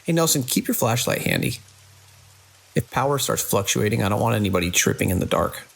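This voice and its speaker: Weary, authoritative male hospital director for audio drama, played by a man. Gravelly, expressive delivery. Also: lowish voice, brisk, heavily acted. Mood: steady, businesslike. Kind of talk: radio drama acting.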